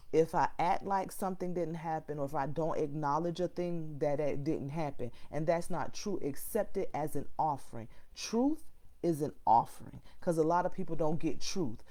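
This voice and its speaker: A woman life coach hosting a live morning talk, slightly raspy today, speaking in a motivational, repetitive preaching manner. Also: deep voice, mellow. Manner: preachy, repeats phrases for emphasis. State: a little raspy.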